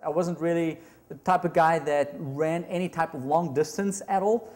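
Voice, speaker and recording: South African man in his 30s, earnest church staff testimonial, slightly high voice. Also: steady tone, moderate pace, a little mellow. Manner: earnest, reflective storytelling. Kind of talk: testimonial interview. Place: indoor room with brick wall.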